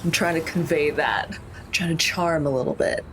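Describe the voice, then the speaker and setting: A woman's voice: deep voice, moderate pace, a little hiss or hum. A woman chatting casually and playfully as a player in a tabletop game, describing what her character does.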